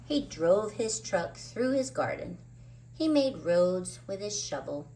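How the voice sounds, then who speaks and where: A woman's voice: mid-range voice, sing-song, takes it slowly, warm tone, a little hiss or hum, rough recording. A woman hosting story time, narrating a children's book expressively with dramatic emphasis on words. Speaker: children's story reader and host.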